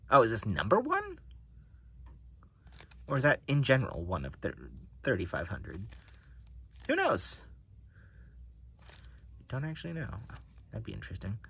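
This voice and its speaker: Low-voiced man musing over cards. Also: gravelly, slightly rough. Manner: relaxed, musing, talks to himself while handling cards. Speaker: card collector reviewing decks. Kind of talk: casual unboxing narration. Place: indoors at a table.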